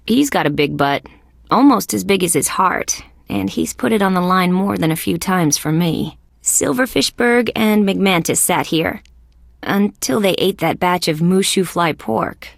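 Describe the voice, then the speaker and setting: A woman playing a wry, skeptical young female police detective in a video game, with a deadpan, sardonic delivery. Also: lowish voice, vocal fry, no echo, studio quality. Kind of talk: scripted game character lines.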